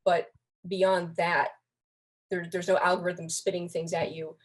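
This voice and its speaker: Young woman, slightly low voice, podcast guest, casual explaining, conversational. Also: level tone, measured pace. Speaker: podcast guest, online community moderator.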